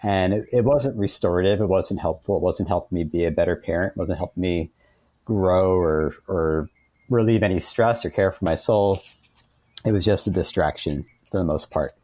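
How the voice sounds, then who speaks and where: Male author guest, very low and soft-edged voice, thoughtful conversational delivery. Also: normal speed, no room sound, low-quality recording. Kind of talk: reflective conversational interview.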